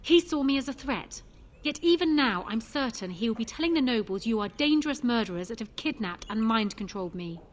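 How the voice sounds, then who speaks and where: A woman's voice: a little high, animated, brisk, natural voice. A woman playing a proud, shrewd young widowed queen in a fantasy video game, speaking in a formal, commanding manner. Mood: grave, wary.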